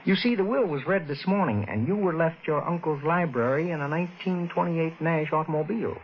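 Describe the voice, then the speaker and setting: Clerk: man doing a prim polite higher voice, old hissy radio. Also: lively intonation, fairly quick, muffled recording, natural voice. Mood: hesitant. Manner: polite, hesitant, quietly threatening. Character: polite prim male record shop clerk. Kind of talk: 1940s radio acting.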